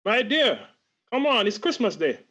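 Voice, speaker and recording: A man playing a gentle, devoted and humble Victorian father, mild and earnest, in a radio play over a video call. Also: sits high, big pitch swings, normal speed, exaggerated voice. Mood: mild, warm-hearted. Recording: dull lo-fi video call audio.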